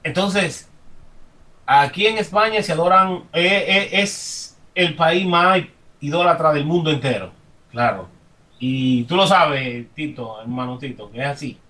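A man's voice: fairly high pitch, bright, forceful tone. A man with a Dominican accent giving an impromptu religious testimony on a group call, emphatic and repetitive, often restarting his sentences. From Dominican Republic. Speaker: congregant giving comments. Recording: distant from the microphone.

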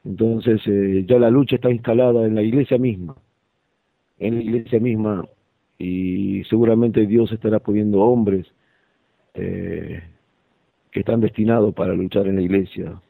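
Thin phone-like audio, man, lowish creaky voice, halting reflective religious talk. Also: steady tone, no echo. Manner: hesitant, halting. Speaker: participant in a religious discussion.

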